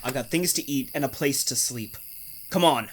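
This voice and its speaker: A man's voice: mid-range voice, moderate pace, background noise, close to an everyday voice. A man playing a domineering, secretive bridegroom in old-fashioned radio melodrama, with a terse, insistent delivery. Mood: curt, controlling. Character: domineering, secretive bridegroom from Maine, curtly menacing.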